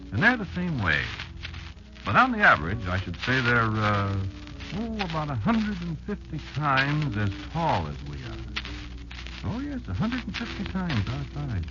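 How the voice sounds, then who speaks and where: An actor playing an old insect elder who warns his child, telling it deliberately and ominously with dramatic pauses in 1940s radio drama style. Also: mid-range voice, huge pitch swings. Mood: wry, instructive. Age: older. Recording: muffled old 1940s radio recording, loud music.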